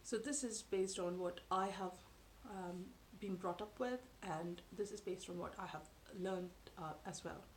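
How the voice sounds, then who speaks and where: A woman in her thirties whose first language is Tamil, talking to camera from a sofa in her living room in a measured, thoughtful way with frequent pauses. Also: fairly low pitch, fairly even intonation, a little hiss or hum. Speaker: vlogger. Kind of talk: explanatory talk to camera. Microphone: camera in front at close range.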